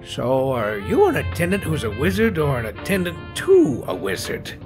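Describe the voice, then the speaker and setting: An actor voicing a fussy, pompous older dwarf cleric. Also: male voice, on the high side, wildly swooping pitch, normal speed, music in the background. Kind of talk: comic dub voice acting.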